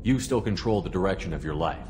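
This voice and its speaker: No-nonsense space commander in a game, talks fast n low, echoey noisy hall. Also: male voice, expressive, over music. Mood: probing, sympathetic. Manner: direct, businesslike. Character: male military commander, direct, steady, probing. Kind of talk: video game dialogue acting.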